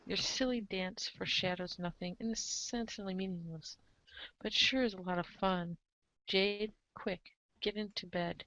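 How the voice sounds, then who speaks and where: A woman's voice: mid-range voice, steady tone, moderate pace, fairly bright tone, dry recording, low-quality recording. A young adult woman reading second-person story narration as the narrator of an amateur reader's theater.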